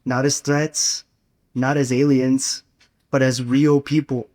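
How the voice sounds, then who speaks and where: A young man delivering a prepared, inspirational speech as a student speaker, with earnest, measured, rhetorical phrasing. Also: mid-range voice, flat delivery, darkish tone. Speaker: student speaker at a campus gathering.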